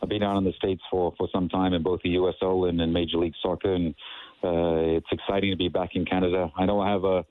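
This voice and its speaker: A man's voice: deep voice, little pitch movement, fast, no echo. A man from South Africa with a South African accent, a soccer coach giving an earnest phone interview, full of fillers and repeats. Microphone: phone line. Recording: tinny dry phone line.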